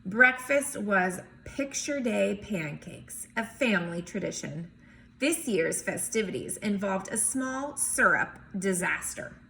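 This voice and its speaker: A woman reading a storybook aloud in an expressive, emphatic way, with chatty asides as if to young children. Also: medium pitch, vocal fry. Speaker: teacher or reader reading a picture book aloud.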